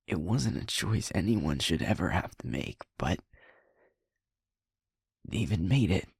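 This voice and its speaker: A man acts out a sweet young werewolf boyfriend burdened by guilt. His voice is low and gravelly with a lot of breath in it, often sinking toward a whisper. He talks slowly, with heavy pauses, as if confessing in an intimate audio drama. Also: lively intonation, no room sound, clean studio sound, exaggerated voice. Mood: haunted, subdued. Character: sweet young male werewolf, guilt-ridden second in command of his pack. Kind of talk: intimate audio roleplay acting.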